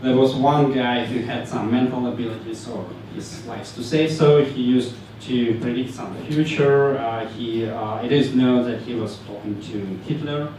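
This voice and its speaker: A man from Ukraine with a Ukrainian accent presents to a live meetup crowd, pausing on fillers and dropping dry jokes. He is picked up from well across a very echoey room, with a faint hum of ambience underneath. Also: medium pitch, fairly even intonation, darkish tone, far from the mic. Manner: halting, frequent fillers, joking asides. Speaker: meetup speaker.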